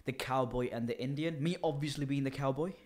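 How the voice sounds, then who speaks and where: A man's voice: medium pitch, a little fast, darkish tone. A young man from Italy with a mid-range voice. He chats as a comedian co-host, loose and sweary, often mocking himself. He talks close into a studio microphone. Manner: joking, self-mocking, sweary. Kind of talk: casual bantering podcast chat. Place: small indoor garage-style podcast studio. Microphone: close microphone on boom arm.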